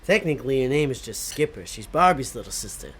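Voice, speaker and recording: A man's voice: medium pitch, expressive, fast talker, a little hiss or hum, natural voice. A man playing an earnest, sentimental demon sidekick in an audio comedy drama, sincere and slightly pedantic. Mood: matter-of-fact.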